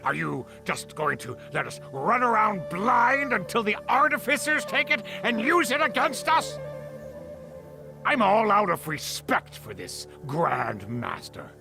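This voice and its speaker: An actor playing a hot-tempered, defiant sect member in a video game, biting and accusatory. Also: male voice, high-pitched, very animated, a little slow, creaky, music in the background, heavily acted. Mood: angry, accusing. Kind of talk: dramatic video game acting.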